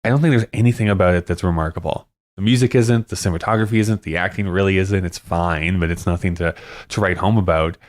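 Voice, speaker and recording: Male podcast co-host, low voice, calm critic. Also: fast talker. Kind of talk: casual film-discussion chat.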